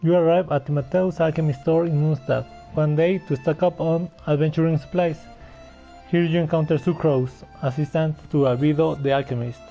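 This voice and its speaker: Male game streamer: slightly high, gravelly and soft-toned, casual running commentary, lo-fi track. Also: fairly even intonation, normal speed, soft background music.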